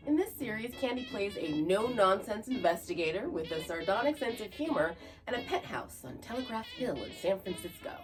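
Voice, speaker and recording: A woman's voice: mid-range voice, expressive, fairly quick, few pauses. A woman hosting a podcast, reading scripted narration with chatty asides. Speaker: podcast host. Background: music.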